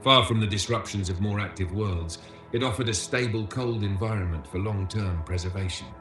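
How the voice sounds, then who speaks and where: A man with a fairly low voice delivers scripted documentary narration. His manner is measured and solemn, like a tour guide presenting an educational film. The voice sounds roomy and reverberant, with music playing clearly behind it. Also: fairly bright tone.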